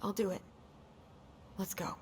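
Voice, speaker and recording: Young female game lead woman acting, hurt and guarded, naturalistic read; clear background noise on the track. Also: a little slow, lightly acted. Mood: resigned. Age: young adult.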